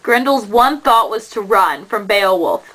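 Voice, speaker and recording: A woman giving a measured, dramatic narration of an epic poem as the storyteller of a puppet show. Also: mid-range voice, expressive, a bit bright, poor audio.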